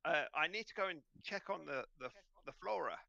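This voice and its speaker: Bright, creaky-voiced man running a game. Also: on the high side, animated, a little fast, no room sound, lo-fi sound. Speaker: game master. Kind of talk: narrating an online tabletop game.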